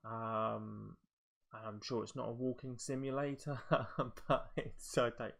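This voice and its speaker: A man's voice: mid-range voice, little pitch movement, slow, gravelly, a bit bright. A man giving casual video game commentary, thinking aloud in a hesitant, rambling way. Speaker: video game show presenter.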